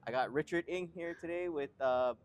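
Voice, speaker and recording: A man's voice: fairly high pitch, normal speed, dull audio, a little hiss or hum. A man, hosting a podcast with loose, laid-back phrasing and fillers. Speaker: podcast host. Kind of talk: casual interview hosting.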